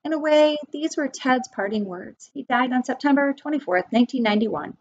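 Animated high-voiced woman reading aloud. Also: brisk. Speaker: read-aloud storyteller for children. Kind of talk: expressive picture-book reading aloud. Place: wood-panelled room indoors. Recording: slightly dull webcam sound.

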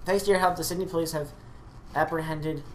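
A man hosting a casual let's-play, reading game text aloud and commenting excitably. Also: a little high, fast talker, crowd noise. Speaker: host of a gaming video.

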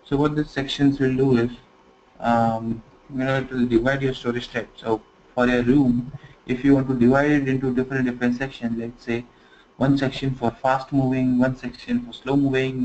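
A man teaching software configuration in a screen-recorded tutorial, talking conversationally and filling pauses with "you know". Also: mid-range voice, level tone. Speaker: software trainer. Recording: slightly dull lo-fi screen recording with faint noise.

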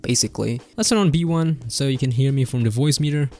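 A young man walks through software in a casual, upbeat tutorial manner. He speaks close to a desk microphone at home, with faint music playing behind him. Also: mid-range voice, fast talker. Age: young adult. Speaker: tutorial host. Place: home bedroom setup indoors. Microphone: close desk microphone on boom arm.

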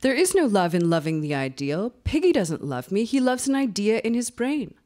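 A woman's voice: medium pitch, very animated, moderate pace, darkish tone, voice pushed up, close to an everyday voice. A woman voicing a once-ivory statue woman, now flesh, who speaks plainly and knowingly.

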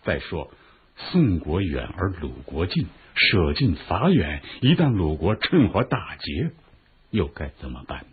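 A very husky chief minister of ancient China. He is earnest and formal, arguing shrewdly in a low register. The sound is narrow and phone-like, as in a radio drama. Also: very animated, exaggerated voice. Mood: earnest, persuasive. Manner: formal, measured, rhetorical. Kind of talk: Chinese historical radio drama acting.

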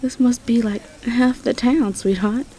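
A woman playing a tender, easily overwhelmed Southern belle girlfriend in a roleplay audio drama, with a Southern US accent and a halting, breathy delivery. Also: fairly high pitch, fairly even intonation, lightly acted, poor audio. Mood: amused, touched. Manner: soft, halting, repeats words.

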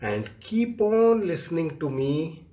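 Very slow-paced man guiding relaxation. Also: fairly high pitch, big pitch swings, warm tone. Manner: measured, instructing in short phrases with long pauses. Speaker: yoga nidra instructor. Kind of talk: guided relaxation meditation. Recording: echoey, roomy sound.

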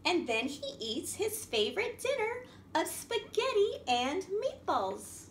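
Slow animated lady doing kids storytime, sing-song, roomy echo. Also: extremely high pitch. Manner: animated, sing-song, simple questions to small children. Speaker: library storytime host. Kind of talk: children's storytime, reading and teaching. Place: small indoor room at a wooden table. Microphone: camera a few feet away. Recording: roomy echo, faint background noise.

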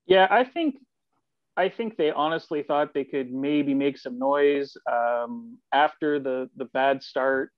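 A man's voice: mid-range voice, slow pace, no room sound. Man, forties, casual sports talk, dry room sound, lo-fi video call. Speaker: sports columnist, podcast guest. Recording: dull lo-fi video call audio.

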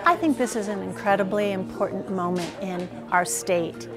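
A woman's voice: mid-range voice, normal speed. Earnest woman educator, faint music behind. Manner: earnest, persuasive. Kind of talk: interview statement. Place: TV studio.

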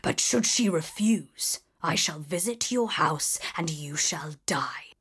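A woman doing a hulking storybook beast with a low, husky near-whisper, slow and heavy. The voice is dropped well below her own, grave and gloomy, with a dark breathiness. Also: lively intonation, full character voice. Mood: menacing. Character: huge gruff male beast, sad and brooding.

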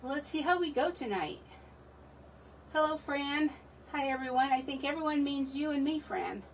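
A woman's voice: sits high, lively intonation, normal speed. A middle-aged woman losing her voice, hosting a live craft stream from her home desk in a chatty, rambling, self-deprecating way. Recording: distant from the mic, faint room noise.

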